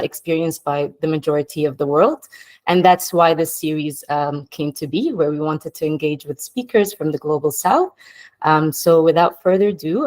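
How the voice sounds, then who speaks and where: Woman from egypt and palestine, pretty low voice, explaining stuff as cohost on a video call. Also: a little mellow. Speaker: co-host. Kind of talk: explanatory introduction at an online discussion.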